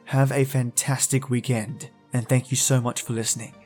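A male podcast host gives a friendly scripted introduction. His voice is mid-pitched, with music playing behind it. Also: level tone, moderate pace, darkish tone. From Australia.

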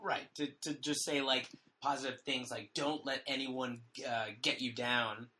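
A man with a hoarse voice, co-hosting a casual comedy podcast with wry, deadpan jokes. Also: medium pitch, unhurried, a little hiss or hum. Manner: wry, deadpan jokey delivery with frequent restarts. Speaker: podcast co-host.

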